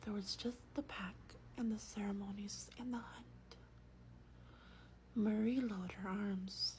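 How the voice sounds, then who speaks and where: A woman with a breathy whisper reads a story aloud in a slow, hushed, gentle ASMR style, as a vampire host close to the microphone. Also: mid-range voice, fairly even intonation, poor audio. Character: gentle female vampire host reading a bedtime book. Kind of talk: soft-spoken ASMR roleplay and book reading. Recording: faint background noise.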